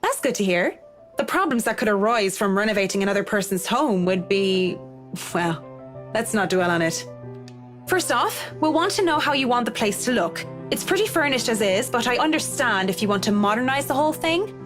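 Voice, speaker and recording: An actress playing a polite but frayed professional woman in a visual novel, speaking in a formal, measured way. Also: fairly high pitch, lively intonation, fairly bright tone. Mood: polite, businesslike. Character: Marianne, polite but frayed female interior designer. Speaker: interior designer character. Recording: music under the voice.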